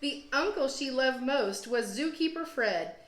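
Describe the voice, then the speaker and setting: A woman teacher reading a children's storybook aloud with expressive, deliberate storytelling phrasing. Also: high-pitched. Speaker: teacher, guest read-aloud host. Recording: roomy echo, music behind. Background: storybook app music.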